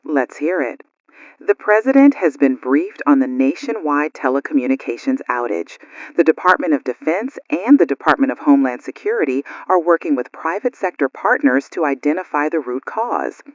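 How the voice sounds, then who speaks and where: Woman playing worried lady radio host in a blackout drama, very animated pitch, kinda lo-fi dry booth sound. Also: normal speed, no room sound. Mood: formal, reading. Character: anxious female radio news co-host during a blackout. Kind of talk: radio news drama acting.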